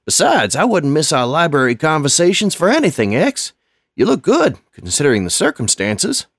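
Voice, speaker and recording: A man voicing an older male janitor, gruff and shaken, with folksy slang. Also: a little high, sing-song, moderate pace, no echo, studio quality, voice pushed up. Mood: rattled. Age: 60s.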